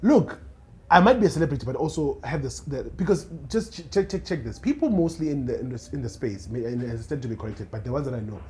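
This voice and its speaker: Young south african guy, relaxed voice. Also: medium pitch, fast talker. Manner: animated, restarts often, laughs easily. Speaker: podcast guest, radio producer. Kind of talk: casual interview chat.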